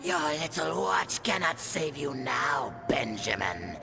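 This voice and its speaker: A man playing a menacing, gloating alien monster villain in a cartoon video game, with a gravelly, theatrical delivery. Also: medium pitch, big pitch swings, slow, full character voice. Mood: wrathful threatening. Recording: loud music under the voice. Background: loud dramatic music.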